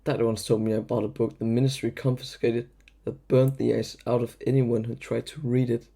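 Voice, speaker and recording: A man plays a wry schoolboy sidekick in an audio drama. His voice is gravelly and mellow, and he sounds blunt and incredulous. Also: mid-range voice, flat delivery, normal speed. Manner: sarcastic, incredulous.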